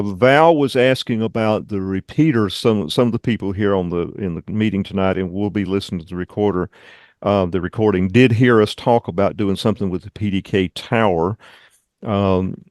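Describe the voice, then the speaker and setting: A man leading an online club meeting, giving informal updates in a halting, rambling, conversational way. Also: lowish voice, darkish tone, no room sound, clean studio sound. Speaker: radio club meeting leader and presenter.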